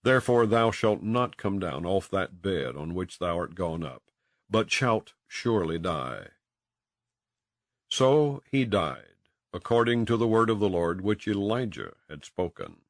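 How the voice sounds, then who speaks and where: A man narrating scripture in a solemn, measured and declamatory way, like a scripted audiobook reading. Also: deep voice, big pitch swings, no room sound, studio quality. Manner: measured, declamatory, with archaic phrasing.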